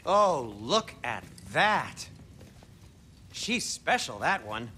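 Game role: nervy dwarf craftsman, fairly high, sharp-edged voice, prim and fretful. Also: very animated, extremely slow, a little hiss or hum, heavily acted. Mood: admiring. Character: fussy, anxious, exasperated male dwarf craftsman. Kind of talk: video game character acting.

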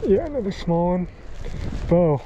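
A man's voice: high voice, measured pace. A young man fishing from a float tube on a reservoir, chatting casually to himself about bites and catches, recorded on a head-mounted action camera. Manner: relaxed, talks to himself between catches. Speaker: fly fishing vlogger. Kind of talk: casual running commentary while fishing. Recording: muffled outdoor audio with wind noise.

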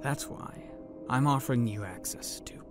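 A man playing an earnest crime-family son in a comic book fan dub, halting and placating. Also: mid-range voice, lightly acted. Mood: placating, uneasy. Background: music.

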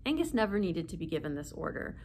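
A woman's voice: sits low, big pitch swings, fast talker, a little mellow. Woman reading a children's story, noisy room. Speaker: librarian reading aloud. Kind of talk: expressive children's story narration. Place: indoor room, seated.

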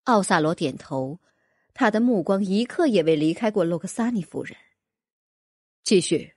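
A woman's voice: medium pitch, animated, normal speed, dry recording, studio quality. A woman narrating a fantasy audiobook in a dramatic, scripted storytelling style.

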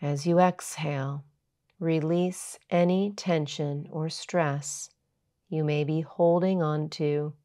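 Woman, low pitch, very slow pace, soothing yoga teacher, guided meditation narration, measured instructions. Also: steady tone, warm tone.

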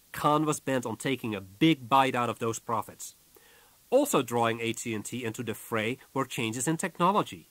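A man reading a business audiobook from a script in a measured, businesslike way, as its author. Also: medium pitch, a bit bright, no echo.

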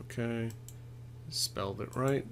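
A middle-aged man narrating a step-by-step software tutorial in an informal, explanatory way, thinking aloud as he goes. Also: medium pitch, slow pace, gravelly, slight background noise. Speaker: laundry equipment expert, tutorial presenter.

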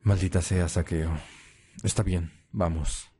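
Casting: male actor as loyal, stubborn vampire follower, low dark voice, curt, defiant, roleplay audio drama. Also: fairly even intonation, takes it slowly, breathy edge, heavily acted. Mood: grudging. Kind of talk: Spanish-language ASMR roleplay audio drama.

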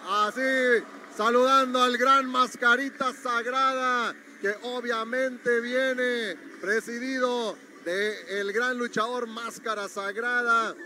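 Man, ringside wrestling commentary, very high pitch, noisy arena behind. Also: steady tone, slow pace, pushed and bright. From Mexico. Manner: promotional, urging viewers to share. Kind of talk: live event commentary. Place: university gym arena.

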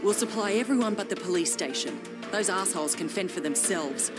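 A woman's voice: on the low side, expressive, fairly quick, music in the background, close to an everyday voice. An actress playing a tough, pragmatic woman survivor who takes charge bluntly in a video game cutscene. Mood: firm, persuasive. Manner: blunt, commanding, calls people doll and love.

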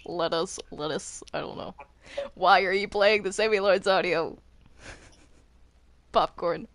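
A woman's voice: on the low side, unhurried, fairly bright tone, no room sound. A woman chatting casually while gaming in a roleplay server.

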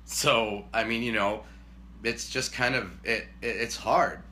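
Booming bright-voiced man on a couch. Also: fairly even intonation, unhurried, slight background noise. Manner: rambling, self-deprecating, booming when worked up. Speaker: co-host, husband. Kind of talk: casual chat. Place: living room couch, indoors.

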